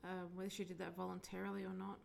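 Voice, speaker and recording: A woman with a heavy, gravelly fry in her voice, hoarse and croaky as she talks. She speaks at a middling pitch and drifts between hesitant musing and half-whispered asides while she gives a psychic reading. A faint hiss sits under her voice. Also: flat delivery, fast talker. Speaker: psychic medium. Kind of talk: solo psychic reading monologue.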